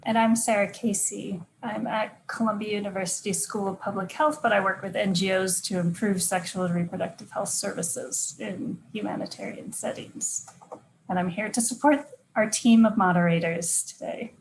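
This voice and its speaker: A woman's voice: mid-range voice, steady tone, normal speed, low-quality recording. Brief: woman in public health, concise and plain, faint noise behind. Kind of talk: brief introduction on a video call. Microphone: video call.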